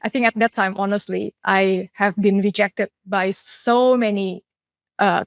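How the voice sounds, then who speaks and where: A woman's voice: medium pitch, no room sound, studio quality. A woman from Indonesia in her thirties, a product leader answering interview questions candidly and reflectively, with frequent fillers and restarts. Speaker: guest, chief product officer.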